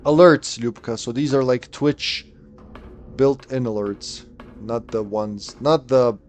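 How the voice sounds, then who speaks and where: A man's voice: mid-range voice, steady tone, slow pace, a little mellow, faint music behind. A man in his late thirties giving live commentary on a game, chatting, thinking aloud and answering chat. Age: late 30s. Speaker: streamer.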